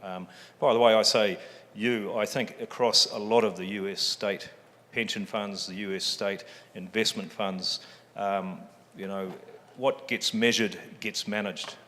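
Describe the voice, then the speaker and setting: Low-voiced New Zealand man, joking. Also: steady tone, unhurried, pushed and bright, slight background noise. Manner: joking, self-deprecating, many ums. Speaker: panelist, fund chief executive. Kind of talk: public forum talk. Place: large event hall. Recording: long hall reverb.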